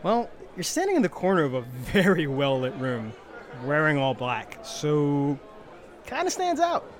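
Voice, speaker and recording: A man's voice: a little high, huge pitch swings, measured pace. A man playing an easygoing human tavern keeper in a comedic fantasy audio drama, talking in a casual, hesitant, incredulous way. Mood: friendly, bemused.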